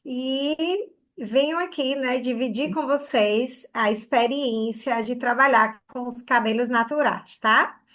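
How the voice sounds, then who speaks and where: A woman's voice: a little high, slow, fairly bright tone. A woman from Bahia, giving an online hair care class in an earnest way and often checking in with "né?" and "tá?". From Bahia, Brazil.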